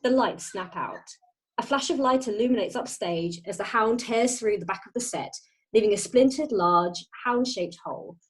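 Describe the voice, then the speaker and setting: A woman's voice: fairly high pitch, normal speed, rough recording. A woman narrator reading a play's stage directions as dramatic scripted narration. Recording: home internet call.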